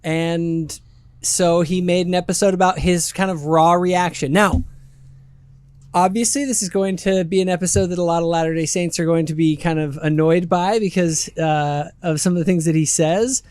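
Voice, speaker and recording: Higher-pitched man hosting a casual talk show. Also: steady tone, normal speed, a little mellow, clear, resonant tone, dry recording. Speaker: channel host. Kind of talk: casual panel chat with a narrated intro.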